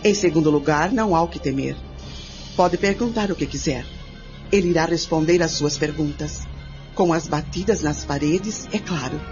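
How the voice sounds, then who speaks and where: A woman's voice: low voice, darkish tone. Firm, reassuring woman played by an actress, muffled sound. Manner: measured, instructive, matter-of-fact. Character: calm, firm woman reassuring a nervous guest. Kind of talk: radio drama acting. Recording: muffled lo-fi radio play, music underneath.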